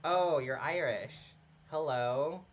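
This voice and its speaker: A man's voice: a little high, slow, highs cut off, faint music behind. A man casually commenting on a game he is playing live, chatting with viewers and greeting them by name, often laughing at himself. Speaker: streamer.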